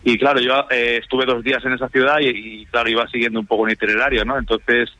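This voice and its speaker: A man's voice: medium pitch, little pitch movement, fast, pushed and bright. A man from Spain with a Castilian accent, chatting casually as an interview guest and often ending phrases with a questioning tag. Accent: Spanish from Spain. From Zamora, Spain. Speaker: traveller guest, secondary school teacher. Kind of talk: casual radio interview, telling travel anecdotes. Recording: roomy, slightly dull sound.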